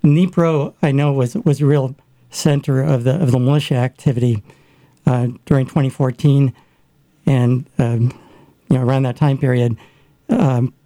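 A man in a casual discussion, talking haltingly with many fillers and restarts. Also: medium pitch, fairly even intonation, dark tone, no room sound. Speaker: guest discussing Ukraine.

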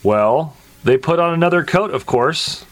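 A man delivering a scripted radio ad with an upbeat, jokey pitch. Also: lively intonation, a little slow. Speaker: ad presenter. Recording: radio ad over guitar music.

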